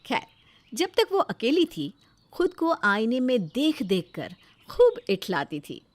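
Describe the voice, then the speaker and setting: A woman's voice: a little high, wildly swooping pitch, slow. A woman narrating a scripted moral story in a dramatic storytelling style.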